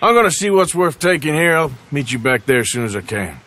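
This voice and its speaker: An actor playing a sardonic, gruff American outlaw enforcer with a husky voice in a Western game. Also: male voice, a little high, big pitch swings, fairly quick, pushed and bright. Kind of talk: dramatic game cutscene acting.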